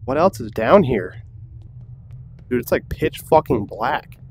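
Excitable young man swearing through gameplay. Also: medium pitch, slow pace, a little hiss or hum. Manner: excitable, swears a lot, laughs often. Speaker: gaming YouTuber. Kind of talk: casual gameplay commentary.

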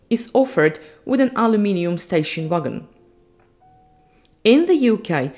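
A woman reading scripted documentary narration as a voice-over in an even, read-aloud way. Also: fairly low pitch. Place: voice-over with background music.

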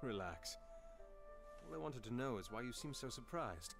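A man's voice: mid-range voice, lively intonation, moderate pace, over music, natural voice. A man playing an easygoing, wry and confident inspector in a video game. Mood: relaxed, teasing.

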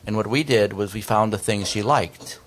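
A man's voice: fairly low pitch, normal speed, background noise. A man in his fifties, a researcher, explaining earnestly on a discussion panel at a desk microphone in a conference room. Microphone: desk microphone on stand.

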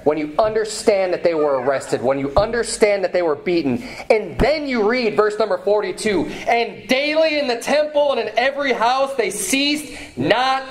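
A man in his thirties preaching a sermon from a pulpit, emphatic, repeating phrases and climbing in pitch when stirred. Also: animated, normal speed, hardly any pauses, rough recording. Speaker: pastor. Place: small church hall with banner, indoors. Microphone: far camera, at a pulpit.

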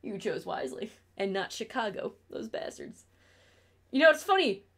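A woman's voice: medium pitch, big pitch swings, moderate pace, vocal fry. A young woman from New York State with an upstate New York accent, chatting on a casual stream from her bedroom, blunt and ranting, swearing freely.

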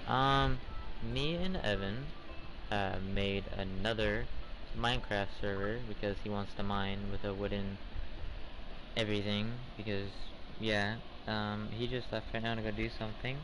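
Teen guy gaming stream, kinda low voice, lots of um, noisy mic hiss. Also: steady tone, a little slow. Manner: rambling, hesitant with many ums. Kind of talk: casual game chat.

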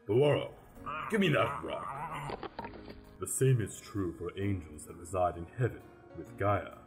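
A man playing a stern but courteous grim reaper in a radio play, speaking formally and deliberately. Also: low voice, music in the background. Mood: patient. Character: stern, courteous Death, grave male reaper.

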